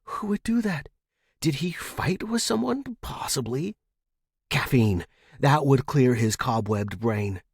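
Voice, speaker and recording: Male narrator for pulp fiction podcast. Mid-pitched, slightly rough-edged voice, expressive dramatic read, close dry sound. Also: takes it slowly, no echo.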